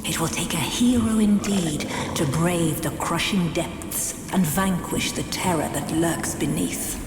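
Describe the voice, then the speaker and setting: A woman playing a grave, dramatic storyteller, narrating fantasy game cutscenes in a measured, portentous way. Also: lowish voice. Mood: foreboding, solemn. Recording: roomy, long reverb, music bed. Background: clear background music.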